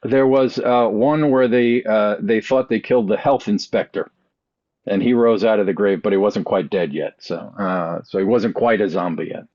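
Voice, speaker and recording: Lowish, dry-sounding middle-aged man chatting. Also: normal speed, no room sound, lo-fi sound. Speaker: podcast panelist. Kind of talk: casual chat.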